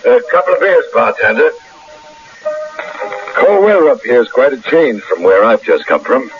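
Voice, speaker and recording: An actor playing a genial, sly, faintly sinister lawyer in an old radio drama, courtly and deliberate. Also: male voice, mid-range voice, big pitch swings. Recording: dull lo-fi radio recording, faint music.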